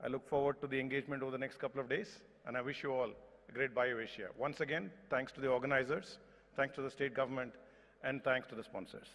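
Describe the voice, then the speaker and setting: A man's voice: mid-range voice, steady tone, fast, a bit bright. A man in his forties with an Indian accent, giving a formal conference speech at a podium microphone in a large hall. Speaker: company executive speaker. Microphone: podium gooseneck microphone. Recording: reverberant hall public address.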